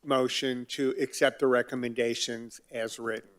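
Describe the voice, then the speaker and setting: A man's voice: mid-range voice, level tone, normal speed, a bit bright. A man serving on a city board, plainspoken, asking practical questions and making motions. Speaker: board member. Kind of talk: public meeting discussion. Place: city board meeting room.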